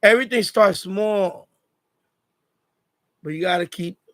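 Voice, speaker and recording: A man's voice: high-pitched, measured pace, fairly bright tone, no room sound, studio quality. A man chatting casually on a live stream, repeating phrases as he gives advice. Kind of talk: casual live chat monologue.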